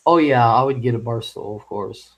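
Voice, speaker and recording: A man's voice: medium pitch, low-quality recording. Rambling man hosting a casual live chat. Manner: halting and rambling, with many fillers. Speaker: live stream host. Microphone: home microphone.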